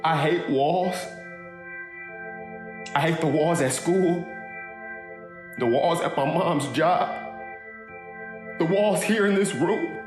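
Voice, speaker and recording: Grieving kid brother, roomy echo. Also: male voice, a little high. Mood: frustrated. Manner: halting, earnest. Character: grieving kid brother giving a heartfelt eulogy. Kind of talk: audio drama monologue. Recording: roomy echo, music underneath.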